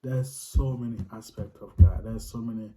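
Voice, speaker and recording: A man's voice: medium pitch, flat delivery, normal speed, mellow, a little hiss or hum. A man with a Haitian accent, earnestly explaining his faith in casual talk, restating his points for emphasis.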